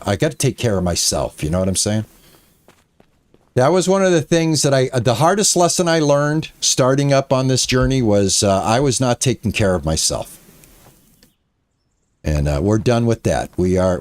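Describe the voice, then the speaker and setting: A man in his late sixties chatting casually to his viewers while streaming a farming game, rambling with frequent pauses. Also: on the low side. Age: 60s. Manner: soft and quiet while petting his cat. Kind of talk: casual live-stream chat while playing.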